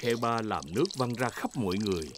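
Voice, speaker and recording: A man's voice: mid-range voice, animated, quick pace, heavy background noise, lightly acted. A man narrating a children's cartoon from a script, in the role of storyteller. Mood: storytelling. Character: adult male storyteller of a preschool cartoon. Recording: music bed under the voice.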